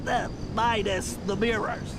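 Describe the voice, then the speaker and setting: A man's voice: high-pitched, steady tone, unhurried, background noise. A man voicing a brainy, cautious young turtle gadget whiz in a video game, nasal, precise and a little fussy. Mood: earnest planning. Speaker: mission planner. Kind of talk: animated video game voice acting.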